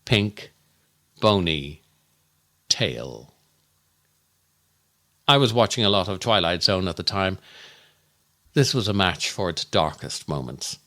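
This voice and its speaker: A male comedian narrates a scripted story at an unhurried pace. His intonation is lively and wide-ranging, and he lends the dark humour a deliberate, theatrical weight. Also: on the low side. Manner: dramatic, deliberate, darkly comic delivery. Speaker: comedian and podcast host. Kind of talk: scripted comic monologue storytelling.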